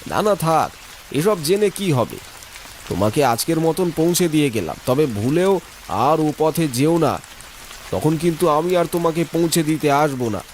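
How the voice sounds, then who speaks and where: A man's voice: a little high, expressive, moderate pace, a little mellow, a little hiss or hum, lightly acted. A man voicing a kindly, sorrowful ghost of a poor villager in an earnest, plaintive cartoon voice-over. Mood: kindly, cautioning.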